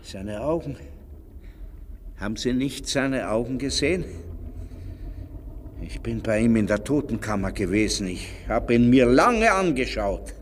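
A man's voice: medium pitch, expressive, unhurried, long pauses, close to an everyday voice. An actor playing an agitated, cryptic old man in a radio drama, rambling and insinuating, in a reverberant space. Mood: haunted, insistent. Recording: long reverb, background noise.

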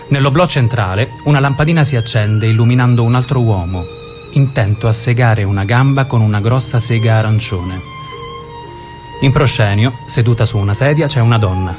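A man's voice: mid-range voice, darkish tone. A man narrating scripted stage directions, setting a scene for a radio drama.

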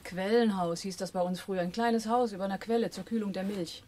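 An actress in a German radio drama playing a sharp-tongued, teasing, practical female accomplice. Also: mid-range voice, fairly even intonation, fast talker, natural voice. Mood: matter-of-fact.

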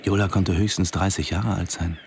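A reserved middle-aged diving instructor tells his story in a radio drama. His voice is low and his delivery sober and detached. There is faint noise behind him. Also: fairly even intonation, moderate pace, vocal fry. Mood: sober, reflective. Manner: sober, matter-of-fact narrating. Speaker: narrator and character. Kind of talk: radio drama narration and dialogue.

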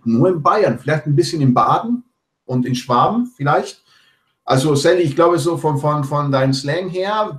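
A man from Latin America talking casually over a video call, explaining his points in a measured way and listing examples. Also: on the high side. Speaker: panel guest. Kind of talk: casual political chat. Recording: echoey room.

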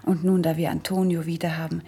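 A very husky, breathy female voice with a creaky edge plays a composed noble princess. She delivers elevated verse deliberately, with gentle wistfulness and soft admonishment, in classic radio-play style. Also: lowish voice, level tone, heavily acted. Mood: gentle reproach.

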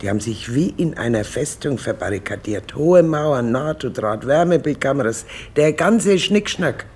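An actor playing a chatty, streetwise police colleague in a naturalistic radio drama, talking in informal slang. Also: male voice, medium pitch, expressive, normal speed, slightly rough. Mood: wry, informative.

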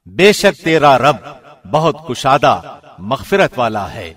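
A man reading a scripture translation from a script, in a formal, measured radio presenter's delivery. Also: medium pitch, very animated, fairly bright tone.

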